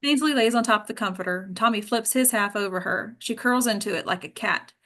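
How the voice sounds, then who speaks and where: A woman's voice: mid-range voice, a little fast, fairly bright tone. A woman leading an actors' table read, reading and chatting in an informal, encouraging way. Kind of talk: script reading and group discussion.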